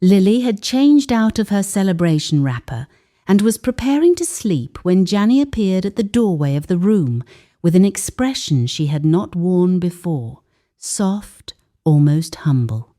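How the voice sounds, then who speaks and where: A woman narrating a scripted folktale as a storyteller, in a measured, deliberate and dramatic way with weighted pauses. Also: lowish voice, sing-song, dark tone.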